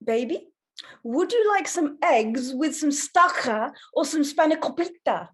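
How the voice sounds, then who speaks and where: A woman's voice: high-pitched, expressive, unhurried. A woman playing a theatrical, whimsical and defensive mother in her fifties at a screenplay table read. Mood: breezy, insistent.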